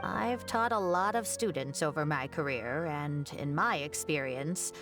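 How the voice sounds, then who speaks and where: Low-voiced, wise older professor. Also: female voice, a little slow, music in the background. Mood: matter-of-fact. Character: wise, kindly older female architecture professor. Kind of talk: scripted game dialogue.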